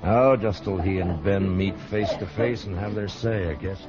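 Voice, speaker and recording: A man acts out a steady western marshal in an old radio drama. His voice is very low, firm and plain-spoken, with authority in every line. The recording is muffled vintage radio with music. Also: moderate pace, close to an everyday voice. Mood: dry, steady. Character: steady, authoritative frontier marshal keeping the peace. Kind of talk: 1950s radio acting.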